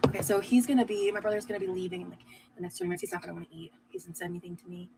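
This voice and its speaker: A woman's voice: lowish voice, flat delivery, rapid-fire, creaky, warm tone, slight background noise, rough recording. A woman chatting casually while she cooks breakfast on a livestream, full of "like" and little asides. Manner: chatty, lots of "like", talks while busy cooking. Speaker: cooking livestream host. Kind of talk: casual chat while cooking. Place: home kitchen.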